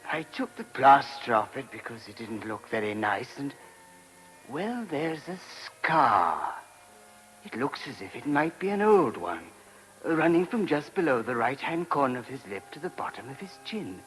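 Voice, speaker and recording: A diffident monk in a radio drama. He sounds uneasy and apologetic, hesitating as he goes, with lively pitch movement. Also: unhurried, soft background music, low-quality recording. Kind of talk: radio play acting.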